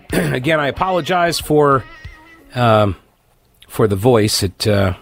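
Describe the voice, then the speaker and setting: A man hosting a talk radio show, raspy and stuffed up from a cold, reading news with sarcastic asides. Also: medium pitch, lively intonation, slow, dry recording, soft background music. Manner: sarcastic, opinionated, reads news aloud with asides. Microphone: studio microphone, dry.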